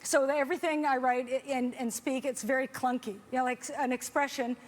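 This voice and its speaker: High-voiced chatty woman doing stand-up. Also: fairly even intonation, a little fast. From Canada. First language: Hungarian. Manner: chatty, self-deprecating, lots of fillers and restarts. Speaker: stand-up comedian. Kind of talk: stand-up comedy set. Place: comedy festival stage.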